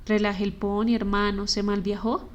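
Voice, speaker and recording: A man's voice: high voice, normal speed, highs cut off, close to an everyday voice. A woman voicing a laid-back street man. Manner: relaxed street slang. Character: street-hardened homeless man, slangy, easygoing.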